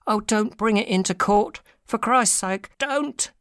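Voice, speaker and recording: A woman's voice: mid-range voice, a little slow, fairly bright tone, smooth, dry recording, clean studio sound, close to an everyday voice. A woman playing a small, frightened, cowardly man, servile and pleading, in an audiobook dramatic reading. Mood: desperate, pleading. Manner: nervous, pleading, deferential.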